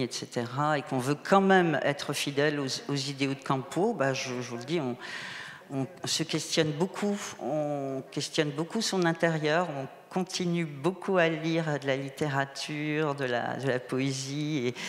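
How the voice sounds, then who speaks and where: A woman from the Moselle region, who grew up speaking a Franconian German dialect, speaks as a novelist at a table microphone during a public round table. She talks animatedly, circling back and repeating her words for emphasis. The sound bounces around a roomy hall, with a long reverb tail. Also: low-pitched. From Moselle, eastern Lorraine, France. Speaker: novelist guest on a panel. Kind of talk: round-table discussion at a book fair.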